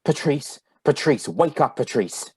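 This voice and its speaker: Man playing earnest accused husband, raspy mid-pitched voice, anxious sincerity, radio thriller, dry sound. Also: lively intonation, measured pace, no echo. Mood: urgent. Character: Bill, earnest worried husband wrongly arrested for murder. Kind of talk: live reading of a 1940s radio thriller.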